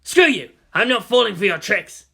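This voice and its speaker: A panicking young male robber acted out by a male reader in a story. He shouts aggressively in a high, sharp voice. He blusters, quick to threaten. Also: big pitch swings, creaky, slightly rough, heavily acted. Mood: desperate bluster.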